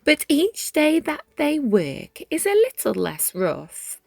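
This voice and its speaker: A woman narrates a rhyming picture book with great expression. Her delivery is extremely unhurried and full of melodic rises and falls, with dramatic pauses. The recording is close and dry. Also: high voice, huge pitch swings, gravelly, dry recording. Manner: measured, dramatic pauses, drops into hushed whispers. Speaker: children's storybook reader. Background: background music.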